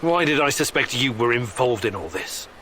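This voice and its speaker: An actor playing a wary, skeptical male hero in a video game, questioning and steady. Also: mid-range voice, moderate pace, background noise, lightly acted. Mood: suspicious. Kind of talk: video game character acting.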